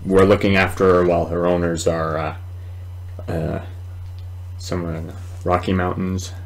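A man in his twenties giving casual, rambling let's play commentary at home, halting at times. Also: deep voice, level tone, creaky. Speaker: gaming video host. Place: small room at home, indoors.

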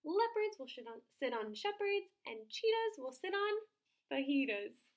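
A woman voicing a boastful small male frog who speaks slowly, loudly and in a sing-song way. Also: big pitch swings. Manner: high, loud, slow and sing-song.

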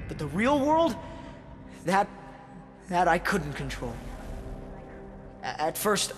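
A timid young American loner from a video game. His voice sits high for a man. He speaks hesitantly, like someone long bullied, with music behind him. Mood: wounded, reflective. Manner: hesitant, halting. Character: timid, bullied young gamer recluse with powers. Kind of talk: video game acting, brooding narration.

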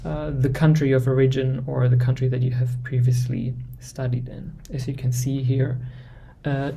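Young man, gravelly voice, very dark tone, webinar presenter, video call mic. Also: mid-range voice, slight background noise. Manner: measured, explanatory, with frequent fillers. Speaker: admissions presenter.

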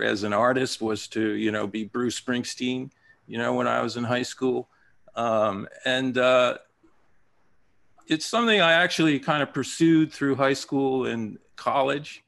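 A man in his fifties from Japan, a playwright talking thoughtfully over a video call, with many pauses and fillers. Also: medium pitch, creaky, fairly bright tone. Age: 50s. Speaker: playwright guest. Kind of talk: casual interview.

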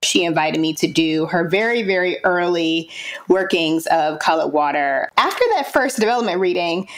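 A woman's voice: on the low side, moderate pace. A woman speaking as an interview guest, telling stories casually and acting out her own quoted remarks. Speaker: theater director and interview guest. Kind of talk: casual storytelling interview talk.

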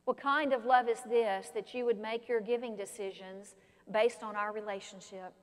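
An older woman pastor preaching an animated sermon from a pulpit in a large church sanctuary. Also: a little high, moderate pace. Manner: animated, expressive preaching with gestures. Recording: long church reverb.

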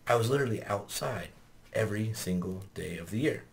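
A man hosting a podcast in a small studio, talking casually, swearing often and laughing loudly. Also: fairly low pitch, expressive, a little fast, gravelly, a little hiss or hum. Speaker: podcast host. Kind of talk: casual chat. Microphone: studio mic on boom arm.

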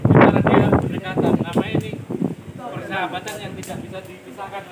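A man presenting to viewers in an animated commentary at an outdoor farm. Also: sits high, a little fast, roomy sound, distant mic, heavy background noise. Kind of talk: casual commentary for a video.

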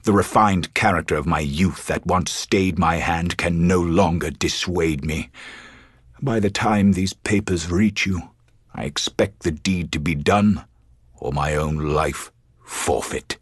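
An actor playing a grave, haunted English sea captain in a board game, reading a letter with formal, theatrical solemnity. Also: male voice, low-pitched, a little slow, breathy edge, no room sound, studio quality. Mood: resolute. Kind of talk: dramatic letter narration.

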